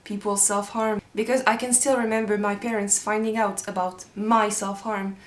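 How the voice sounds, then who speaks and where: A woman's voice: medium pitch, little pitch movement, moderate pace, reverberant. A nineteen-year-old woman from Switzerland with a French-speaking Swiss accent, explaining things in an earnest, reassuring vlog with frequent ums. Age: young adult. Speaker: video creator.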